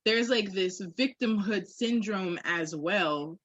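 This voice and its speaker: A woman's voice: medium pitch, slow pace, a bit bright, no room sound, muffled recording. A woman talking candidly on a podcast in an emphatic, slangy way, with swearing.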